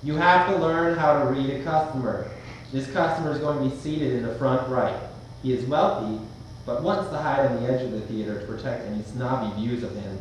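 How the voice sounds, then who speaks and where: A man's voice: mid-range voice, fairly quick, close to an everyday voice. A man playing a confident, superior veteran theater usher mentoring a newcomer in an amateur drama. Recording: very echoey room, distant, faint noise.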